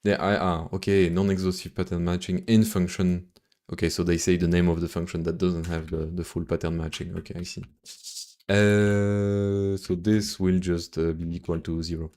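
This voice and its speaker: French accent guy muttering thru a coding stream, really deep soft-edged voice, kinda hesitant n casual. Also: level tone, moderate pace. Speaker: live-streaming programmer. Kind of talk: casual think-aloud coding commentary.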